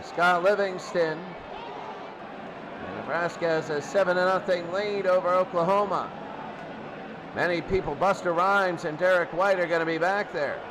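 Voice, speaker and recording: A man calling simulated football games as a play-by-play announcer, his voice jumping up and getting loud on big plays. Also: sits high, a little slow, background noise. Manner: excitable, voice jumps up and gets loud on big plays. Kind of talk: simulated radio play-by-play commentary.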